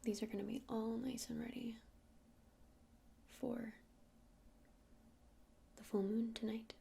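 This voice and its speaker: A woman playing a gentle witch shopkeeper in an ASMR roleplay, speaking in a breathy whisper and explaining things in an unhurried, hesitant, soothing way. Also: on the high side, level tone, full character voice. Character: gentle witch shopkeeper showing crystals to a customer.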